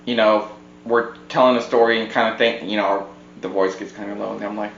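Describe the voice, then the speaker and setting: A man's voice: fairly low pitch, level tone, a little fast, a bit bright. A man co-hosting a casual home podcast, talking in an informal conversational way. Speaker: podcast co-host. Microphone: podcast microphone on a tripod. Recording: muffled roomy podcast mic, background hum.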